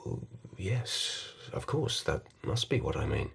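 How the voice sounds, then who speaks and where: A man acting an earnest, suspicious palace lieutenant in a radio drama, halting and deferential in speech. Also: a bass voice, very animated, whispered, heavily acted. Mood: hesitant, suspicious. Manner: hesitant, throat-clearing, deferential.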